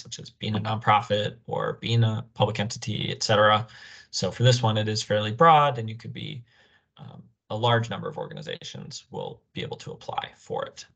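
A young man explaining a program as a presenter in an online orientation, speaking over a video call. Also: mid-range voice. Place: indoor room, on a video call. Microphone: video call microphone.

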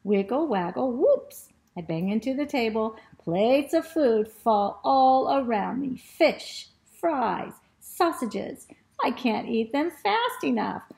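Woman voicing an excitable, greedy storybook dog, high-pitched. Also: creaky, full character voice. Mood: greedy, frantic. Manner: exclamatory, drawn-out words and short repeated sniffing phrases. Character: excitable, greedy male dog narrating his food adventures. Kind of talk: expressive children's storybook reading.

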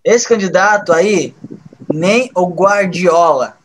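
Casting: actor as sardonic, resigned male political aide, high-pitched, audio drama. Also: slow, a little hiss or hum, low-quality recording. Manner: deadpan, sardonic. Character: dry, resigned male campaign trainer, fatalistic.

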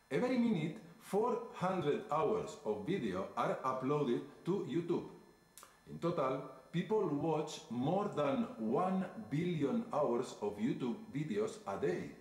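A man's voice: fairly high pitch, sing-song, slow pace. A man in his forties with a Spanish accent, a native Spanish speaker, explaining facts on a video. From Spain. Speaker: statistics specialist. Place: indoor room, played into lecture hall. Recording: echoey playback through room speakers.

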